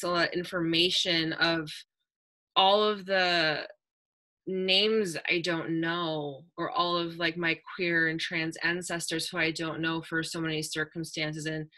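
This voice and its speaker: A woman's voice: on the low side, sharp tone. A young woman speaking on an online panel in an earnest, reflective way, with many "like"s and "um"s, over a webcam on a video call. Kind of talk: casual panel talk. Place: indoor room with a virtual backdrop.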